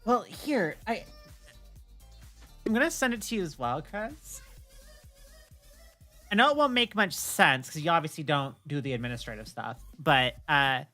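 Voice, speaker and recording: A woman with a fairly low, bright and forceful voice talks casually on a livestream. Her pitch swings around in a lively way and she swears freely, with music playing behind her. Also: measured pace, long pauses. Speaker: streamer.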